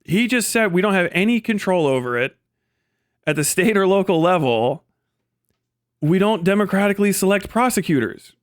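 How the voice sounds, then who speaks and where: A man with a fairly high-pitched voice comments casually on a livestream. He sounds exasperated and incredulous, arguing his point with plenty of swearing. The sound is very dry, with no room on it. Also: animated, normal speed, no room sound. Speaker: livestream host reviewing own debate.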